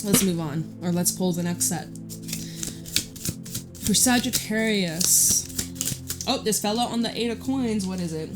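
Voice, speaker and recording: A woman giving a casual tarot reading in a loud, chatty voice, rambling off into side stories. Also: mid-range voice, measured pace, long pauses. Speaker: tarot reader. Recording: guitar music playing behind. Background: guitar music.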